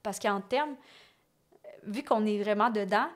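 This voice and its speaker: A young adult woman with a Québécois French accent, a comedian guest talking in a casual podcast chat, quick and chatty with lots of fillers. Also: mid-range voice.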